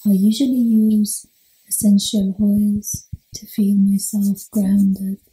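A woman leading an ASMR guided meditation in a soothing, unhurried, mostly whispered delivery. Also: medium pitch, flat delivery, warm tone, breathy edge, low-quality recording.

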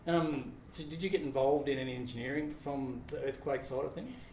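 A middle-aged man from Australia hosting an interview, relaxed and informal, with many fillers and the odd "mate". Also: mid-range voice, a little fast. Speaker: interview host. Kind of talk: casual interview. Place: office meeting room with large windows. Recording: roomy office with faint noise.

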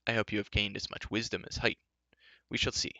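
Man playing a low, solemn mentor. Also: little pitch movement, no echo. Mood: grave. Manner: measured, soft, solemn.

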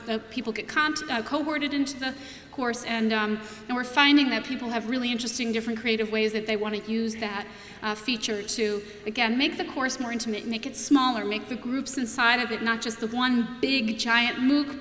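A woman's voice: on the high side, fairly bright tone, slight background noise. A young adult woman giving a conference keynote from a podium, talking in a casual way with many fillers and restarts. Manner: conversational, frequent fillers and restarts. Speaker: keynote speaker presenting product features. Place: large auditorium stage at a podium. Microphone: podium mic from a far camera. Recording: echoey auditorium with long reverb.

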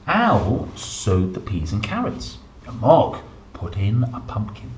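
A man in his thirties reading a picture book to young children, playful and expressive, with chatty asides. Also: fairly low pitch, slow. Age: 30s. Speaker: storyteller, host reading children's books. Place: indoor room, seated at a table. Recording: roomy echo, some background noise.

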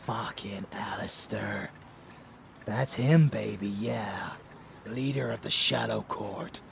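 A man's voice: fairly low pitch, creaky, background noise, full character voice. A man playing a cocky, murderous vampire lover in a roleplay audio drama, intimate, drawling and menacing, doting on the listener.

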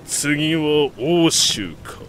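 An actor playing a proud, iron-willed warlord in an audio drama, speaking in solemn, imperious, archaic grand speech. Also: male voice, a little high, animated, extremely slow, heavy background noise. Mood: solemn, resolute. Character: proud, iron-willed male warlord bent on remaking the nation. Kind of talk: Japanese audio drama acting.

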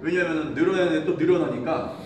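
A man in his thirties, a fitness coach, giving an animated exercise lesson while demonstrating moves in a large indoor gym, filmed from a distance. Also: fairly high pitch, fast talker, over music. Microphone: far camera. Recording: echoey gym from far off.

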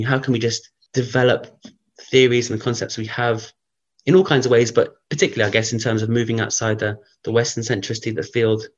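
Man, guest on an interview, croaky early voice, rambling and filler-heavy, dull lo-fi sound. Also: medium pitch. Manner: rambling, thinks aloud with many fillers. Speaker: guest researcher. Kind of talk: casual interview talk.

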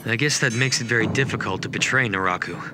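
An actor playing a calm, thoughtful young monk in an anime game, measured and composed. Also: male voice, medium pitch, over loud music, natural voice.

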